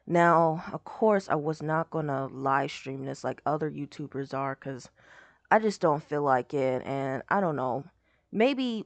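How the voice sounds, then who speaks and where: A young woman with a hoarse voice, rambling casually as she reacts to a game showcase video. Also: deep voice, dry recording. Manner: rambling, lots of "like" and trailing off.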